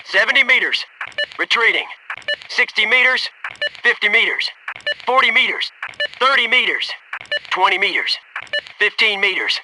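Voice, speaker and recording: An actor playing a cocky, keen young military squadmate in a video game, giving short clipped combat callouts. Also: male voice, high-pitched, lively intonation, normal speed, long pauses, background noise. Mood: businesslike.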